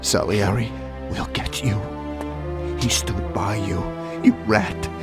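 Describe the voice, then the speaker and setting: An actor playing a wounded, dying gangster, gasping out bitter warnings in pain in a video game. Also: male voice, on the low side, sing-song, slow, exaggerated voice. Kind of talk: dramatic video game acting. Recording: loud music under the voice.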